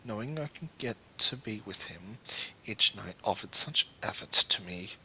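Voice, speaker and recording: Bright-voiced man reading a story dramatically. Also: fairly low pitch, lively intonation, unhurried, a little hiss or hum, rough recording. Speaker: amateur fan fiction reader.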